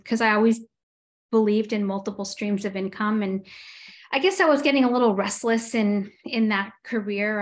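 Casting: woman, forties, from Los Angeles, talkative interview guest on a video call, easy laugh. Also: mid-range voice. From Los Angeles, USA. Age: 40s. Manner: chatty, frequent "um" fillers, laughs easily. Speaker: guest entrepreneur. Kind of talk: casual interview chat. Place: home room with window blinds.

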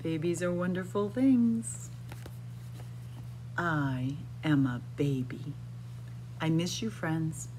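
A middle-aged woman reading a picture book aloud to young children in a deliberate, expressive, sing-song way, recorded indoors. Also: sits low, noisy background. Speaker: children's library storyteller. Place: indoors in a library room with bookshelves.

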